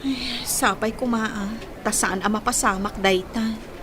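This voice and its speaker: A high-pitched young woman played by a radio actress, an affectionate and trusting older sister who works abroad. She talks tenderly and expressively, as in a radio drama. There is faint noise behind her. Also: steady tone, unhurried, close to an everyday voice. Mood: wistful.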